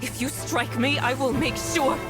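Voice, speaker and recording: A woman's voice: high-pitched, fairly even intonation, moderate pace, natural voice. A woman playing a haughty, imperious villain in a video game, speaking in a mocking, theatrical way. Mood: threatening. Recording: very loud music behind the voice.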